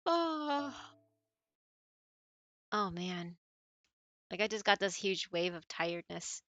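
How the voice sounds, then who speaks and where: A woman livestream host chatting casually with viewers while she plays, in an animated, giggly, playful way. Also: mid-range voice, slow, no echo. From born in Malaysia, family brought to the US.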